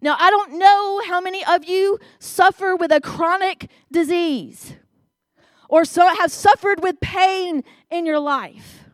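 Southern woman preacher, very high voice, slow emphatic delivery, dry sound. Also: dry recording. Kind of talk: sermon.

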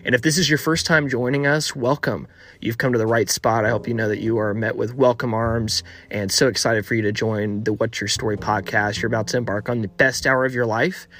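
A young man hosting a podcast, eagerly welcoming listeners and repeating excited, upbeat phrases as he introduces and questions his guest. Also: medium pitch, fairly quick. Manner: enthusiastic, repetitive hype phrases. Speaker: podcast host.

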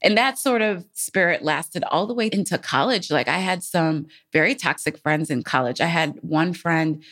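A woman's voice: low-pitched, lively intonation, normal speed, fairly bright tone. A woman co-hosting a podcast, telling personal stories in a casual, informal way with colloquial filler phrases. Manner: informal, frequent "like" and "you know what I'm saying". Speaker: podcast co-host. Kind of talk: candid personal storytelling, casual chat.

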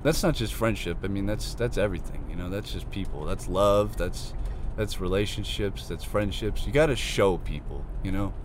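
Twenty-year-old male solo podcaster, low voice, crackly and fading, rambling and cursing, faint traffic behind. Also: moderate pace, hardly any pauses. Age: 20s. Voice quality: crackly, losing his voice. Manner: rambling, irritated, self-deprecating, swears freely. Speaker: podcast host. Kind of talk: casual solo podcast monologue. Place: inside a parked car. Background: faint car and street noise.

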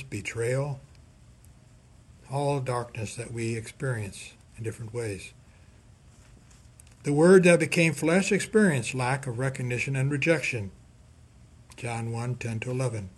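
Husky-voiced man reading a measured reflection. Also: medium pitch. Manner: measured, deliberate, with emphatic pauses and repetition. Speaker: priest giving a scripture reflection. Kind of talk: scripted sermon reflection read aloud.

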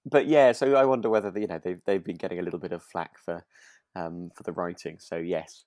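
A British man co-hosting a casual podcast, speaking in a halting, understated way. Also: low-pitched, steady tone, darkish tone, no room sound, studio quality. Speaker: podcast co-host.

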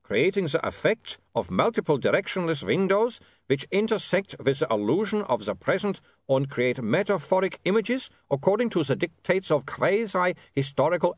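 High-pitched, fussy, polite vampire. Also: male voice, sing-song, moderate pace, few pauses, no echo, clean studio sound. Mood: breezily explanatory. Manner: stilted, formal phrasing with odd idioms. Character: polite, fussy, long-suffering foreign male vampire photographer.

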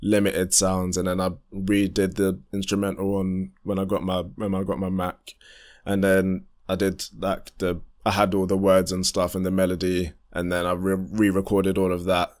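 A young man, a musician chatting casually in an interview, relaxed and full of fillers and restarts. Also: normal speed. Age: young adult. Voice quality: deep voice. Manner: relaxed, rambling, many fillers and restarts, laughs often. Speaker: independent musician guest.

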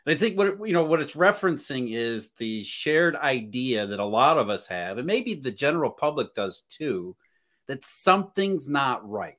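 A man's voice: mid-range voice, sing-song, normal speed, a bit bright. A man speaking as a podcast guest, earnest and thinking aloud with restarts. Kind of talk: casual podcast conversation.

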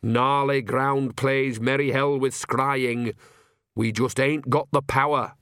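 A man's voice: mid-range voice, fairly even intonation, slow pace, a bit bright, no room sound, clean studio sound. A man voicing a bossy, cheerful old woman, a witch with a piercing voice and blunt, rustic, teasing talk in a storybook. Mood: urgent, bossy.

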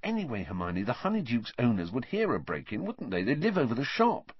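A cheeky, brash teenage schoolboy, as a man puts him on for a storybook, pushed up in pitch and blunt in delivery. A husky grain stays underneath. The recording is dry and a little muffled. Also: brisk, no echo, exaggerated voice. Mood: scoffing. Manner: blunt, excitable, teasing.

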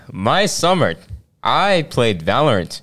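A man's voice: on the high side, big pitch swings, very slow, a bit bright. A young man co-hosting a podcast, bantering in a loose, slangy way. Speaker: podcast co-host. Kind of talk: casual chat.

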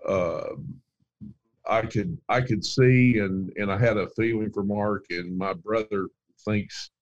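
A man's voice: lowish voice, slow pace, dull audio. A man working as an account manager, talking casually in an interview in an earnest, plainspoken way with frequent fillers.

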